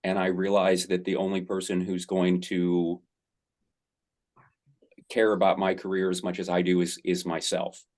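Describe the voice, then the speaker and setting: A man's voice: sits low, completely flat delivery, normal speed, long pauses, a little mellow. A middle-aged man, an actor, answering interview questions in a rambling, enthusiastic way with many fillers.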